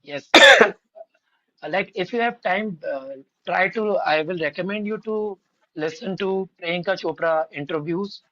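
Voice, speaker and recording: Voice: medium pitch, a little slow, no echo, lo-fi sound. A podcast guest with an Indian accent, learning English, who speaks politely, often says "ma'am," and rambles with lots of "like.". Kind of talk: casual conversational podcast.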